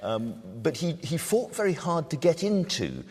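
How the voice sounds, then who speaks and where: A man hosting a literary discussion, putting questions and prompts to his guests in a husky voice. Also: on the high side, wildly swooping pitch, moderate pace, a little mellow. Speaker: host, panel moderator. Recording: echoey hall with long reverb.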